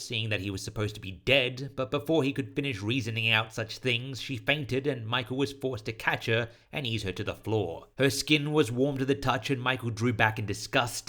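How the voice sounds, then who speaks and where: A man narrating a scripted horror story in a solemn, deliberate, literary manner. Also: mid-range voice, fairly bright tone.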